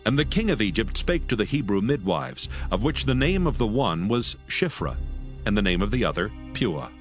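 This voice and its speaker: A man narrating scripture in a measured, solemn reading. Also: on the low side, sing-song, soft background music.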